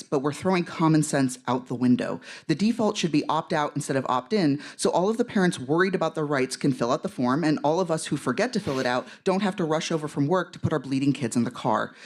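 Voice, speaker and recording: A man reading a prepared statement at a public hearing, with some hesitant fillers at the start. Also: fairly high pitch. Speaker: member of the public testifying.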